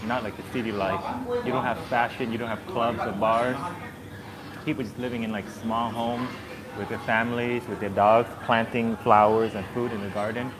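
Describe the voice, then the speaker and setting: A man from Southern Vietnam chatting casually with his viewers as a vlogger, rambling and easygoing with plenty of fillers. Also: mid-range voice. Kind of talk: casual chat with live viewers. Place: busy street outdoors. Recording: loud street traffic behind. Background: loud traffic and street noise.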